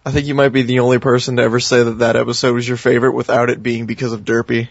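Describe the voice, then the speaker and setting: A man's voice: mid-range voice, very fast, vocal fry, dry recording, muffled recording. A man co-hosting an online radio interview, asking questions casually and making jokes. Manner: joking, steady delivery. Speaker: radio show co-host.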